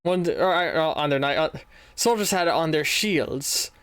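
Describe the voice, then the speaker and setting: A man voicing an ancient male vampire who once fought as a soldier, speaking in a measured, halting way. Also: a little high, a bit bright, clean tone, no echo. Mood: grave.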